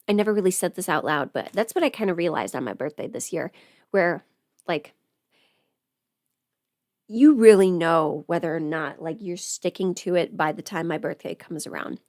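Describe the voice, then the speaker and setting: A woman's voice: lowish voice, a little mellow, dry recording, studio quality. A young woman chatting casually as a livestream host, informal and talkative, often putting rhetorical questions to her listeners. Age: young adult.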